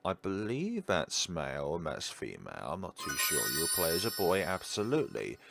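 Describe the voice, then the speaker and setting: A man's voice: sits low, huge pitch swings, normal speed, vocal fry, music in the background. A man hosting a gaming video, giving rambling commentary and reading game text aloud. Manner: rambling, musing asides.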